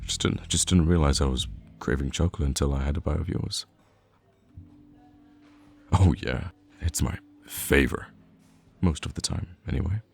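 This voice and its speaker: A man acts out a teasing, easygoing young male friend in an intimate roleplay. His voice is extremely deep with plenty of creak, and he banters in an animated, casual way. Faint music plays behind him. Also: moderate pace, pauses often, exaggerated voice. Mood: casual, enthusiastic. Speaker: ASMR roleplay voice actor. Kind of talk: conversational ASMR roleplay acting.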